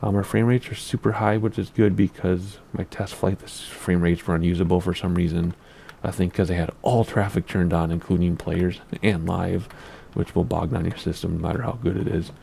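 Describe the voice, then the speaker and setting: Gravelly low-voiced man, allergy-scratchy. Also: flat delivery, fairly quick, mellow. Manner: rambling, easily distracted, self-deprecating, laughs at himself. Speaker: flight sim gameplay host. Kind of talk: casual gameplay commentary.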